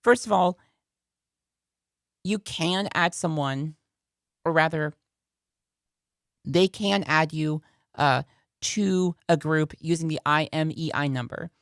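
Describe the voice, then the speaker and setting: A woman's voice: low voice, slow pace, long pauses, dry recording, studio quality. A woman hosting a casual product review in a conversational way, often repeating phrases for emphasis. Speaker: video host and product reviewer.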